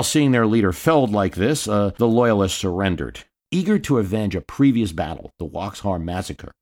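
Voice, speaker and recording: A man's voice: low voice, a little mellow. A man hosting a history podcast, telling the story in a scripted narration with wry asides. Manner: storytelling, dramatic readings of quotes.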